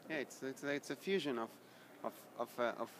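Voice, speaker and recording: A man in a band, talking in an interview, halting and repeating words as he searches for them. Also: mid-range voice, fairly bright tone. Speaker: band member. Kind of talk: casual interview chat. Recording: noisy background.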